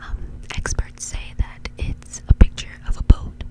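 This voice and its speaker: A woman whispering breathily as she hosts an ASMR video, telling a story in a casual, conversational way. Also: unhurried. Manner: casual, informal, conversational phrasing.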